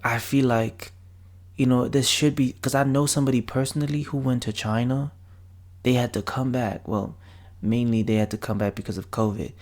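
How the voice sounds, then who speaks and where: A man's voice: mid-range voice, fairly even intonation, normal speed, a little mellow. A man doing a rambling, slang-filled reaction commentary on a video. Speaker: reaction vlogger.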